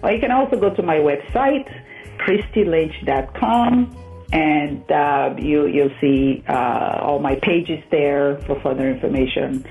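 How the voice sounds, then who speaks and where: A woman's voice: sits low, measured pace, faint music behind. A woman from Nigeria, a matchmaker, chatting as a guest in a radio interview and laughing often. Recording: tinny phone line.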